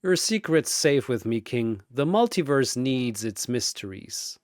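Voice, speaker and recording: A man acts out a godlike four-armed arena announcer from a fantasy battle tale. He is showy, amused and teasing. His pitch moves around widely, and the recording is dry and close. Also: big pitch swings, unhurried, a little mellow, dry recording.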